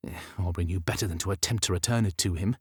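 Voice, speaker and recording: A man's voice: low-pitched, quick pace, slightly rough, no echo, studio quality. A man reading an audiobook as scripted literary narration.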